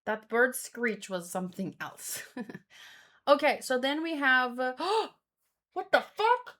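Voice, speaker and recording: A woman hosting a read-along in a casual live commentary style, with expressive, exclamatory reactions. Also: sits high, slow. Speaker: host of a manga read-along stream.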